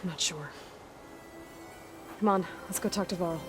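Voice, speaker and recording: A woman's voice: lowish voice, a little slow, over music, close to an everyday voice. A woman playing a determined young warrior leader in a video game, speaking in a terse, commanding, matter-of-fact way. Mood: focused, serious. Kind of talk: video game cutscene acting.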